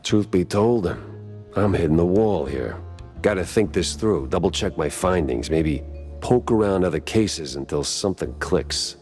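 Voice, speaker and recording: A man voicing a world-weary, wisecracking noir detective mouse in a game, deadpan, with an American accent. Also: deep voice, unhurried, faint music behind. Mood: dry, weary. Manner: deadpan, dry.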